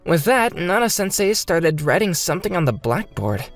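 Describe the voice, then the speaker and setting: A woman's voice: lowish voice, big pitch swings, moderate pace, soft background music. A woman voicing an earnest, bewildered fifth-grade boy who narrates his own story in a manga dub. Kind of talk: scripted first-person narration in a manga dub.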